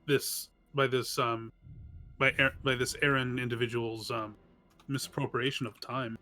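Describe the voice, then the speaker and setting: A man playing a tabletop game, talking casually and haltingly as he searches for words. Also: mid-range voice, flat delivery, pushed and bright, soft background music. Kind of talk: casual role-play table talk.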